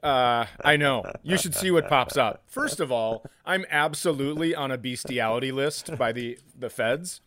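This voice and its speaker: A man's voice: medium pitch, moderate pace, hardly any pauses, fairly bright tone, no echo. A man co-hosting a comedy podcast, telling stories casually with crude, exasperated rants. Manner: crude, profane, exasperated ranting.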